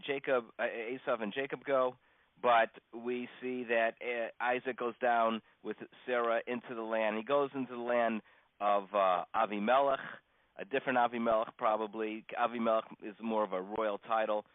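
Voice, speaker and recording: A man speaks as a rabbi telling stories in a relaxed, unscripted class. His delivery halts and doubles back, with stammered restarts and pauses. The sound is a dull, lo-fi conference line, very dry with no room around it. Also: mid-range voice, no room sound. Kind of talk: informal religious lesson, unscripted storytelling. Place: teaching over a conference phone call.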